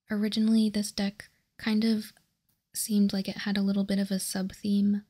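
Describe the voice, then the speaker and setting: Lady doing asmr, soft breathy whisper, really slow n calm, barely moves in pitch. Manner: calm, measured explaining. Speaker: host of a card game ASMR channel.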